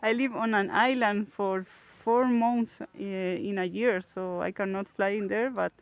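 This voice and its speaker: A woman from Chile with a Chilean Spanish accent, answering interview questions as a paragliding pilot in halting English and laughing often. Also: medium pitch, steady tone, no echo, dull audio. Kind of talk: post-flight interview.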